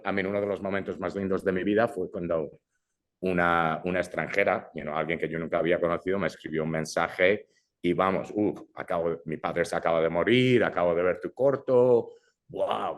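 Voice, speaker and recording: A young adult man from Brazil who grew up in Madrid, a filmmaker talking casually in an interview, often dropping English fillers and restarting his sentences. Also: low voice. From Brazil, raised in Madrid. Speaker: filmmaker guest.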